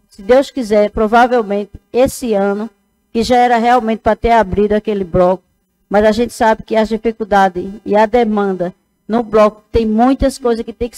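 A woman's voice: a little high, darkish tone, low-quality recording. A woman, giving an earnest speech at a council session into a lectern microphone. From Northeast Brazil. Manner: plain earnest speech with fillers, addresses colleagues. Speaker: city councilwoman.

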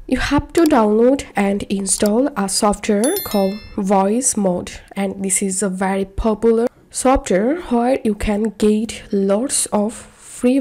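A woman narrating a step-by-step software tutorial in short, instructional phrases. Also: mid-range voice. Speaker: tutorial presenter.

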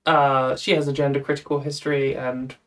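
A woman's voice: extremely low pitch, level tone, a little fast. A young woman hosting a casual chat over a video call, talking in a chatty way and laughing often. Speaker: host.

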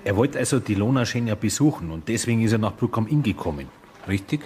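An actor playing a blunt police detective who puts brief, pointed questions. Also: male voice, lowish voice, lively intonation, fast talker, a little mellow, a little hiss or hum, lightly acted. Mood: probing. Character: blunt male police detective, junior partner.

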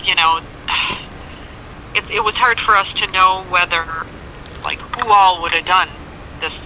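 An American government records officer explaining things informally and haltingly over a phone speaker, in a helpful way. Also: medium pitch, bright, forceful tone. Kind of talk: casual explanatory phone conversation. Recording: noisy speakerphone call picked up outdoors.

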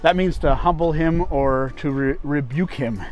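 A man's voice: a little high, a little slow, music in the background. A man in his forties teaching English in a casual, explanatory way through scripted conversation.

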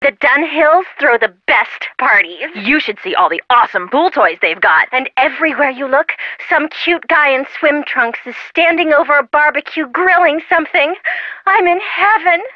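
A chatty American teenage girl in a video game, teasing and sardonic. Her pitch is on the high side and swings around a lot. The sound is dry and a bit lo-fi. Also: expressive, normal speed, no echo. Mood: breezy, teasing. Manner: breezy, sardonic, gossipy. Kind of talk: video game phone-call dialogue.

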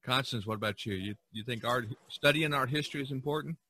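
A man's voice: medium pitch, quick pace, sharp tone. A man hosting a podcast in a casual, conversational style. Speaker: podcast host.

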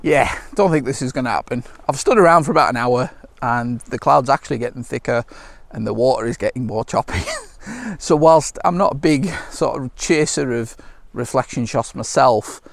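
A middle-aged British man chatting casually to camera outdoors in a relaxed, unscripted, slightly hesitant way. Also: mid-range voice, moderate pace, gravelly, no echo. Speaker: landscape photography vlogger. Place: outdoors by a lake shore.

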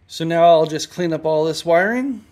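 A man's voice: a little high, moderate pace, darkish tone. A man explaining his motorcycle restoration step by step in a home garage workshop, matter-of-fact and full of fillers. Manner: plain, matter-of-fact, with frequent fillers and self-corrections.

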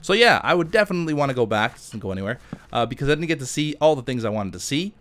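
A man with a mid-range voice commentates casually over a video game. He rambles and thinks out loud, drifting between the game and answering questions in an informal, chatty way. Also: medium pitch, sing-song, very fast. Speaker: gaming YouTuber.

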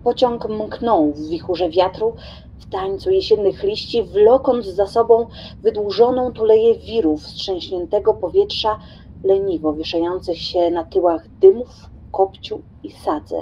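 A woman narrating a literary radio play in a dramatic, deliberate, theatrical way. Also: medium pitch, sing-song, gravelly, dark tone, muffled recording, slight background noise.

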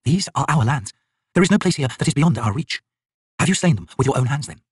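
A stern young shaman of a fantasy tribe, voiced by a male narrator. He sounds cold and urgent, with a husky edge and lively, forceful pitch swings. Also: brisk, dry recording, clean studio sound. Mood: stern urgency.

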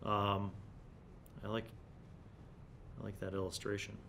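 American man, casual commentary over background rumble. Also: monotone, measured pace, fairly bright tone. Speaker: host of a retro gaming review series. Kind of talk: casual commentary while leafing through an old magazine. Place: small indoor studio room. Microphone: large desk condenser microphone. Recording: clear low background rumble and noise.

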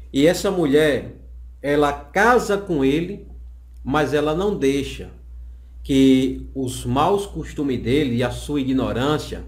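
A Brazilian man in his thirties explaining a Bible lesson in a didactic way, repeating phrases for emphasis. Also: mid-range voice. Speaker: Bible teacher. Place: small indoor office. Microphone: condenser microphone on the desk. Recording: roomy, echoing small office.

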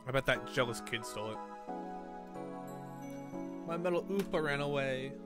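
A man's voice: mid-range voice, lively intonation, normal speed. A man streaming a game, reading visual novel narration and dialogue aloud in a dramatic way. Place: indoor room at a streaming setup. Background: game music.

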